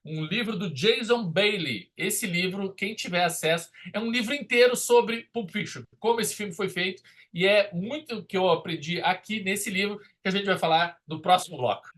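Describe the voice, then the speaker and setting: A man's voice: sits high, moderate pace, few pauses, a bit bright. A man hosting a casual film discussion, emphatic and opinionated. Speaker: podcast host and film critic.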